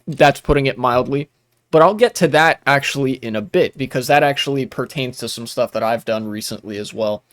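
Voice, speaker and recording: A man's voice: medium pitch, a little fast, fairly bright tone, no echo, clean studio sound. A young man streaming while gaming, talking casually with many restarts and blunt asides.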